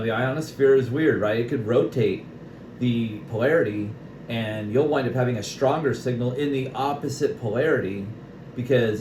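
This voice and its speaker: An American man chatting casually over CB radio as an operator, explaining things and often checking for agreement. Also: medium pitch. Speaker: CB radio operator and video maker. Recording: noisy, echoey room recording.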